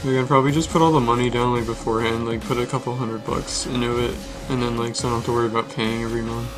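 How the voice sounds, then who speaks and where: A relaxed young man streaming, walking viewers through code in a flat, easygoing way with lots of filler words. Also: medium pitch, racing. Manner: monotone, relaxed, lots of "like" and "basically". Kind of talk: casual coding stream walkthrough. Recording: music playing under the voice. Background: music and keyboard typing.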